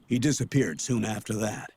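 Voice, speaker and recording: Older colonel in a video game, gravelly and low, weary, kinda apologetic, explains himself. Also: male voice, steady tone. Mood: somber. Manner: measured, quietly defensive. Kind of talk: dramatic video game voice acting.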